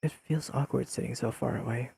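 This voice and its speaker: Mellow, husky deadpan young man, acted. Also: mid-range voice, a little fast, no room sound, heavily acted. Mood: dry, soft teasing. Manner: deadpan, precise, formal wording with dry teasing. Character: deadpan, pedantic, secretly fond young friend, male. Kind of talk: intimate scripted audio roleplay addressed to the listener.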